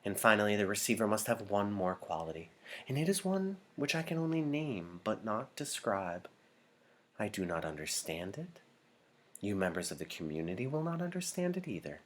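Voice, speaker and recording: A man reading the part of a solemn woman leader who addresses her community formally and deliberately in an audiobook. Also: on the low side, big pitch swings, a bit bright. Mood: solemn, ceremonial. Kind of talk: audiobook character dialogue.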